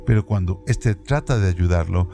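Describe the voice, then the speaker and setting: A man presenting a radio program, reading literary trivia from a script in a measured, even way. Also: sits low, vocal fry. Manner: measured, reads evenly from a script. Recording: music bed under voice. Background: background music.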